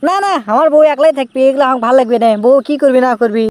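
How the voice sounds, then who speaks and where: Man voicing a high-pitched whiny young Bogura husband. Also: rapid-fire, no room sound. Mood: pleading, evasive. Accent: Bogura Bangladeshi. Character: cheeky newlywed young husband, evasive and exasperated. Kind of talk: comic cartoon dialogue.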